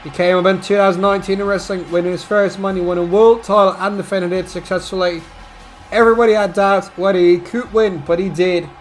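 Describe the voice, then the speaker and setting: Guy doing wrestling announcing, higher voice, hams it up loud then drops low, bit of music under it. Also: fairly even intonation, fairly quick. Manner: theatrical, swings between booming shouts and low asides. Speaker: wrestling e-fed announcer and commentator. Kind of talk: play-by-play ring announcing and commentary.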